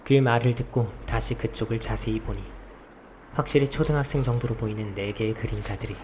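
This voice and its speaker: A man's voice: medium pitch, brisk, a little mellow, slight background noise, close to an everyday voice. A man playing a guarded young investigator in a visual novel, narrating and talking in a subdued, hesitant way. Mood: subdued, pensive. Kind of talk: scripted first-person narration and dialogue. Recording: clear night insect ambience behind voice.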